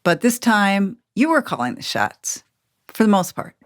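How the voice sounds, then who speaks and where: A woman's voice: mid-range voice, very animated, unhurried. A middle-aged American woman co-hosting a podcast, speaking reflectively and asking personal questions. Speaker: podcast co-host interviewing her grown child. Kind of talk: reflective interview and narration.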